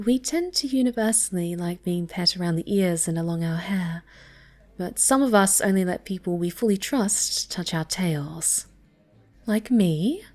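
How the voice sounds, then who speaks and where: Casting: woman to play snobby, bratty cat girl for intimate ASMR roleplay; unhurried, soft and breathy, coy, stammers when flustered. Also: on the low side. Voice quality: soft, often breathy and whispered. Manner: halting, stammering, coy, haughty.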